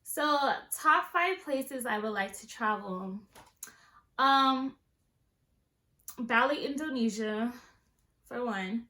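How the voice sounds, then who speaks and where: A woman's voice: fairly high pitch, lively intonation, slow, pauses often, sharp tone, echoey room. A woman in her twenties from Southern California, chatting casually and sassily to her vlog viewers. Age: 20s. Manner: chatty, sassy, playful asides. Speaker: beauty vlogger. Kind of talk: casual chat.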